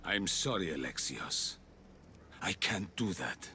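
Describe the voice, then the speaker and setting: An actor playing an aging, zealous Persian leader of a secret order in a video game, speaking in a grandiose, deliberate manner. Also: male voice, deep voice, big pitch swings, sharp tone, a little hiss or hum, full character voice. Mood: calm menace. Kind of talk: video game cutscene acting.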